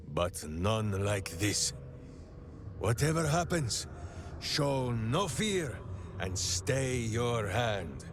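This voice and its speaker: An actor playing a hearty, simple-minded heroic warrior in a video game, speaking with theatrical, earnest grandeur. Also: male voice, fairly low pitch, background noise, full character voice. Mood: solemn, earnest. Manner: grandiose, earnest third-person speech. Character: hearty, devoted, simple-minded heroic warrior man with beloved pet hamster. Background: game music.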